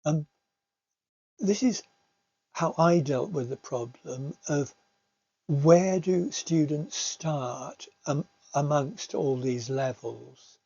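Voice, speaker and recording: A man giving an informal slide talk as a language teacher, explaining things hesitantly with frequent pauses. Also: on the high side, creaky, mellow, husky. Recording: muffled, roomy home recording.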